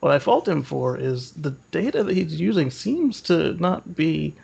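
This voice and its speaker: A man's voice: fairly high pitch, big pitch swings, measured pace, vocal fry, darkish tone, breathy edge, lo-fi sound. A man co-hosting a podcast in casual, opinionated discussion, often repeating words as he feels out a point. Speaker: podcast co-host.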